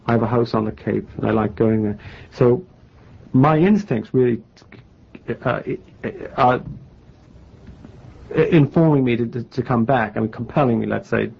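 Gravelly American man, halting interview talk. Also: mid-range voice. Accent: faintly English-tinged American. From New England, United States. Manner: halting, many restarts, wry. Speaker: novelist guest. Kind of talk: conversational interview answers. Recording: muffled lo-fi interview tape.

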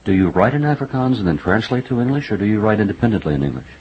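A man interviewing an author, asking his questions in a halting way with repeated words and many "uh"s. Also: on the low side, animated. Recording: dull lo-fi sound with faint noise.

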